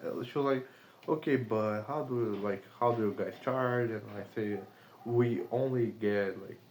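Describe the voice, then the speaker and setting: A man from Brazil, a remote sales rep speaking English with a Brazilian Portuguese accent, rambling casually with lots of fillers and swearing. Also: on the low side. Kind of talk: casual vlog monologue.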